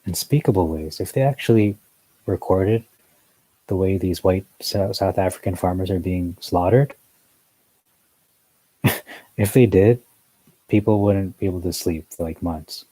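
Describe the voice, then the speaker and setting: A man's voice: low voice, mellow, no echo, low-quality recording. A man giving a rambling, earnest religious commentary monologue, full of fillers and asides. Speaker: newsletter commentator.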